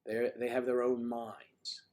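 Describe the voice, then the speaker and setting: Hoarse older man joking with viewers. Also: mid-range voice, completely flat delivery, slow, slight background noise. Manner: teasing and flirty, often drops to a soft mutter. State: hoarse, losing his voice. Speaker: livestream host. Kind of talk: casual livestream chat with viewers.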